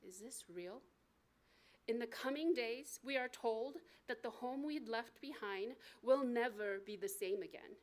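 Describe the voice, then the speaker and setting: Higher-voiced American woman reading her memoir. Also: measured pace. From United States. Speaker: author reading from own book. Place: live book reading at a library event.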